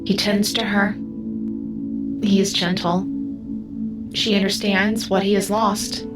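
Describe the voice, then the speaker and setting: A woman's voice: medium pitch, little pitch movement, slow pace, lightly acted. Actress as a solemn otherworldly woman guide, over music. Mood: solemn, tender. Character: otherworldly female guide through time, solemn, protective. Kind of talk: audio drama narration. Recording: music under the voice.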